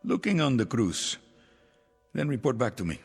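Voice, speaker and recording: An actor playing a paranoid, grandiose revolutionary leader in a mid-century television drama. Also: male voice, mid-range voice, sing-song, moderate pace, gravelly, slightly rough, heavily acted. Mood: commanding. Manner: grandiose, theatrical, commanding. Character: paranoid, imperious male revolutionary leader turned ruler. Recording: old TV soundtrack, reverberant, music behind.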